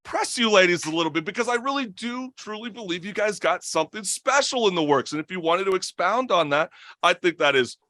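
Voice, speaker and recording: A man's voice: high voice, expressive, fairly quick, pushed and bright, no room sound. A young man hosting a casual talk show, effusive and rambling, with slangy phrasing. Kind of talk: casual chat.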